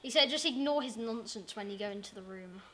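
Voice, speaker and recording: A woman's voice: medium pitch, fairly quick, fairly bright tone, close to an everyday voice. A woman playing a wary guide who casually warns visitors, recorded at a distance. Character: wary guide warning visitors about Cheshire Cat. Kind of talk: casual in-character chat. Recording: distant voice with faint background noise.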